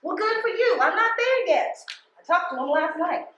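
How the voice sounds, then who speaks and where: Actress as a very high, expressive woman supervisor. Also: moderate pace, dull audio, full character voice. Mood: defensive, wistful. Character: anxious, proud, conflicted female post office supervisor. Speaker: stage actress. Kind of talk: live stage play acting, conversational dialogue. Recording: echoey theater stage room.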